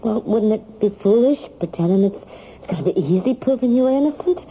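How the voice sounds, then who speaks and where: An actress playing an anxious, loving prisoner's wife in a radio drama, hesitant and stammering. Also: female voice, a little high, lively intonation, a little fast, dark tone. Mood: troubled, loving. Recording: dull, noisy old radio recording.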